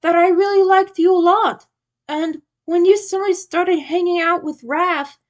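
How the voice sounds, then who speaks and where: Woman voicing an earnest, high-pitched young turtle hero. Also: steady tone, measured pace, dull audio. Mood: apologetic, nervous. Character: earnest, sheepish teenage male mutant turtle leader.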